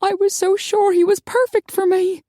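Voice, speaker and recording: Audiobook casting: woman performer as sweet, wide-eyed young vampire woman, high girlish voice, emotional, very dry sound. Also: lively intonation, normal speed, mellow, no room sound. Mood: tearful, horrified. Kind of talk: audiobook character acting.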